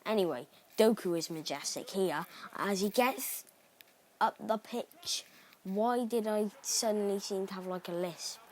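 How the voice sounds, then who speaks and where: A young man from Cardiff with a Cardiff Welsh accent, chatting excitably through gameplay commentary with rambling asides. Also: high-pitched, unhurried, slight background noise. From Cardiff, Wales. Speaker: gaming YouTuber.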